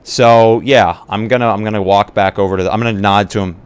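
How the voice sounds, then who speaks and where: A man playing a tabletop roleplay game, improvising casually with hesitant pauses mid-sentence. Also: fairly low pitch, fairly even intonation, clean tone. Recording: noisy live stream.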